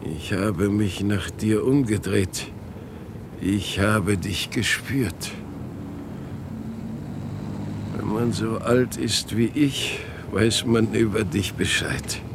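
An actor playing a frail old father waiting for his lost son in a radio play, halting and swinging between murmurs and outbursts. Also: lowish voice, noisy background. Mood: knowing, resigned. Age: older. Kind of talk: German radio play acting.

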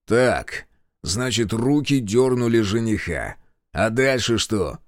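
A man's voice: fairly low pitch, lively intonation, no room sound. A man as a wry Soviet militia major. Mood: questioning. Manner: dry, measured, slightly ironic.

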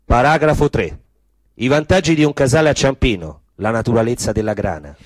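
A man's voice: mid-range voice, fairly quick, no echo. Dry-sounding male theatrical narrator.

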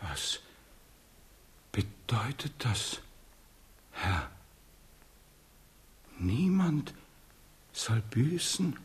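A man's voice: medium pitch, sing-song, extremely slow, pauses often. An actor playing a servile, frightened townsman pleading obsequiously before his king in a German radio play. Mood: timid, awed.

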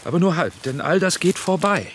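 Lively defensive film director. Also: male voice, sits high, brisk, background noise. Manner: animated, self-justifying. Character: proud aging male film director, defensive. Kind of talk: radio drama acting.

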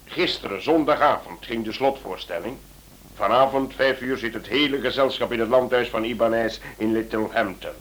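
A man playing a senior police chief in a radio play, speaking in a measured, authoritative way. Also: medium pitch, fairly bright tone, lightly acted. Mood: explaining.

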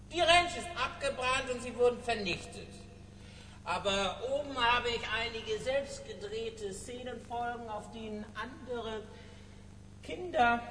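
An actor playing a drugged, decadent villain in a radio drama, flippant and slippery, with a theatrical, mocking manner. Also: male voice, very high-pitched, pushed and bright, heavily acted. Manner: theatrical, mocking, wildly swooping. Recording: reverberant hall, faint noise.

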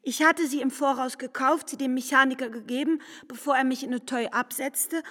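An actress playing a defiant, exasperated young woman confessing her lies in an indignant, expressive literary radio play manner. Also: high-pitched, fairly quick. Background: mallet percussion music.

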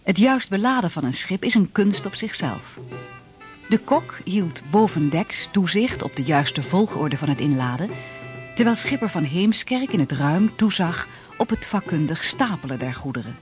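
Narrator for sea-history radio play: sober chronicler, higher-than-average voice, light music. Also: male voice, very animated, normal speed. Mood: measured. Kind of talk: scripted radio documentary-drama narration.

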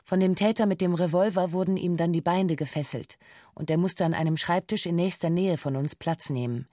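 An actress playing a bank employee who gives a sober, formal witness statement in a radio drama, factual and detached. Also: female voice, on the low side, a little fast, warm tone, no room sound, studio quality, lightly acted. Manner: factual, detached, precise official phrasing. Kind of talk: scripted documentary radio drama, formal testimony.

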